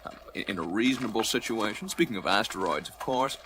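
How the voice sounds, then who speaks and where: A man's voice: fairly low pitch, lively intonation, fast talker, noisy background, natural voice. A man playing a prim, evasive, spindly alien company director in a radio play, speaking in a formal, fussy, condescending way. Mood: fussy, explanatory. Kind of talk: radio play character acting.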